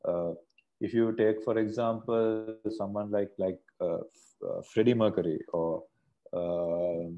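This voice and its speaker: A man's voice: on the low side, steady tone, unhurried, dull audio. A man from Sri Lanka, a singer, talking as a guest over a video call in a casual, rambling way with many restarts. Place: bright room indoors. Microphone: earphone mic on a video call.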